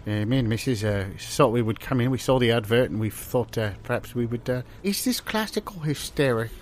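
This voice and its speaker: A man's voice: medium pitch, expressive, brisk, a little hiss or hum, lightly acted. A man playing an eager, bumbling gallery visitor in improvised comedy, hesitant and rambling as he muddles his words. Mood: hesitant, curious.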